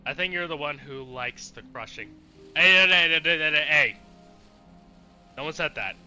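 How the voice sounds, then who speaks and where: A man's voice: a little high, normal speed, sharp tone, clear, resonant tone, faint music behind, low-quality recording. A man doing casual, sweary let's play commentary, reacting with exasperation as he streams. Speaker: video game streamer.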